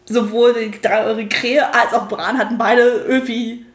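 A woman's voice: a little high, flat delivery, moderate pace, reverberant. A woman in her thirties co-hosting a podcast, chatting casually with an amused tone. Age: 30s. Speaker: podcast co-host. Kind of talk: casual rewatch podcast chat.

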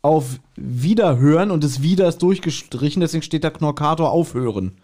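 Male podcast host, fairly high voice, joking and rambling in relaxed conversation. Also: a little fast. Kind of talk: casual chat.

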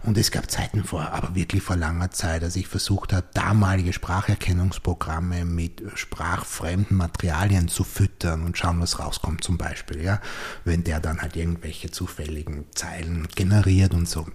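Austrian guy chatting on a podcast, dark husky voice, kinda searching for words. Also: low voice, steady tone, normal speed. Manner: hesitant, repeats words while formulating thoughts. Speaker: podcast host and writer. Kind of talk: reflective podcast conversation.

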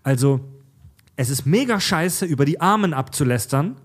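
A man's voice: on the high side, lively intonation, moderate pace. Blunt, heated podcast host man. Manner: blunt, swears freely, heated. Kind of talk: casual podcast chat.

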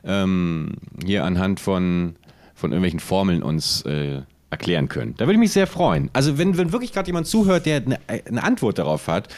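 Male podcast co-host, very animated intonation, casual comic banter, mock-indignant and rambling. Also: low voice, normal speed.

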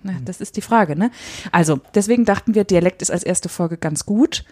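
Husky low-voiced woman podcast host. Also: fairly quick, a little mellow, no room sound, clean studio sound. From Palatinate, Germany. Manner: reflective, laughs often, self-correcting. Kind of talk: casual podcast chat.

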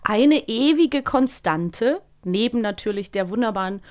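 A woman with a somewhat high-pitched voice co-hosts a studio podcast. She speaks in a casual interview style, conversational and informal. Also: lively intonation, a little slow, darkish tone.

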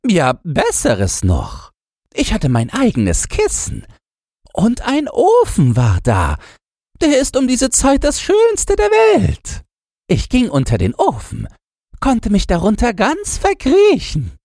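A man voicing a boastful, nostalgic old chained dog in a shrill, yapping falsetto for a storybook. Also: huge pitch swings, slow, darkish tone, no room sound, clean studio sound, heavily acted. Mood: wistful, nostalgic. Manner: knowing, exclamatory, barking.

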